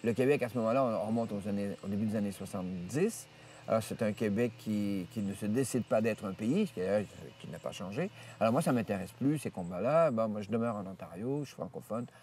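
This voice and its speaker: A man, a priest telling stories from his life in an interview, rambling with many hesitations and restarts and lively retelling of what others said. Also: lowish voice, a little fast, distant mic, slight background noise. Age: 70s. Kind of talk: storytelling interview. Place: outdoors in nature.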